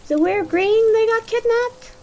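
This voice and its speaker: A woman's voice: very high voice, moderate pace. A woman voicing a casual, eager youngster in an audio drama.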